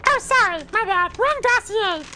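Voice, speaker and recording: A woman's voice: very high-pitched, lively intonation, moderate pace, heavy background noise. A woman playing an imperious cobra princess who guards an ancient tomb, with haughty, theatrical proclamations in a comic adventure radio play. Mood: suspicious, comic.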